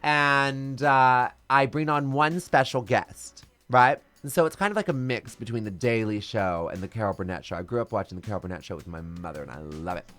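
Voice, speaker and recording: A man's voice: mid-range voice, a little fast, few pauses, fairly bright tone, soft background music. A man who performs as a drag queen, telling stories in a casual interview with a strong, theatrical, flamboyant voice. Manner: flamboyant, theatrical storyteller with big swoops.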